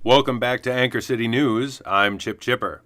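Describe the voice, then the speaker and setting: A man playing an earnest, opinionated news anchor in a comic audio drama, presenting in a polished deadpan. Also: mid-range voice, normal speed, heavy background noise, lightly acted. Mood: announcing.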